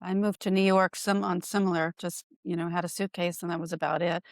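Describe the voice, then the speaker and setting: A woman's voice: on the low side, flat delivery, a little fast, no echo, studio quality. A woman with an American accent hosting a casual interview podcast, affirming and encouraging her guest. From United States. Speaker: podcast host.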